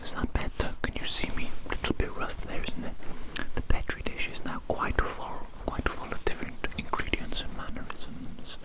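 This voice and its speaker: An ASMR performer playing a chaotic evil doctor in a breathy whisper, fussing dotingly and repeating words and phrases. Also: normal speed, few pauses, rough recording.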